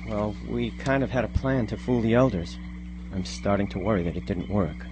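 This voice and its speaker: A man's voice: fairly low pitch, fairly even intonation, normal speed, background noise, natural voice. A man playing an anxious, earnest young son in a live radio drama. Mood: anxious waiting. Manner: earnest, worried.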